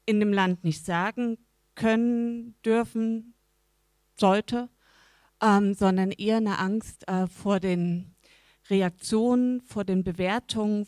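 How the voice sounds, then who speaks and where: A woman speaking as a panel guest, measured and thoughtful, with some fillers. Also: medium pitch, a little mellow, no room sound. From Dresden, Germany. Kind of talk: panel discussion.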